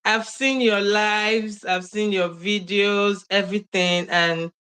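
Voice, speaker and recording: A woman's voice: mid-range voice, fairly even intonation, slow, fairly bright tone, smooth. A young woman, chatting as an interview guest over a video call, laughing often and using many fillers. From Nigeria. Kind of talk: casual interview storytelling.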